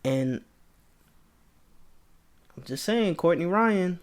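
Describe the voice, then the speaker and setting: A laid-back man with a fairly high voice, chatting through a reaction video. He talks straight to the viewer in a loose, casual way and likes to repeat his points. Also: animated, drawn-out words. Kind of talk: casual commentary.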